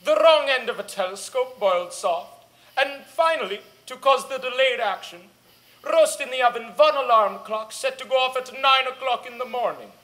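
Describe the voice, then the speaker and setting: A woman's voice: high voice, moderate pace, fairly bright tone, poor audio. A woman playing a tyrannical, gleefully cruel grand witch in a theatrical drama, speaking imperiously in foreign-sounding broken English. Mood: scheming glee. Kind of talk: theatrical villain monologue.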